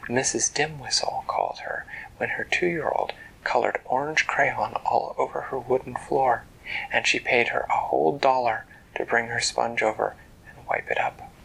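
Parent whispering a bedtime story, raspy and gravelly, kinda bright. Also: medium pitch, poor audio. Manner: hushed, gentle, unhurried narration.

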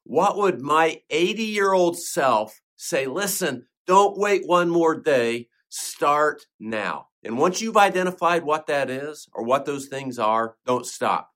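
A man's voice: on the high side, lively intonation, slow pace, few pauses, a bit bright. A man who is a pastor hosts a solo podcast and reflects in a sermon-like way, asking many rhetorical questions.

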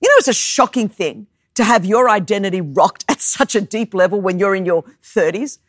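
A woman in her thirties, raised in Australia with Greek as her first language, preaches in an animated, storytelling way with self-mocking humor and emphatic repetition. Her voice sits at a middle pitch for a woman and comes across close and dry, with no room sound around it. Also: moderate pace, no room sound. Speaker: guest preacher. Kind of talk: sermon with personal story.

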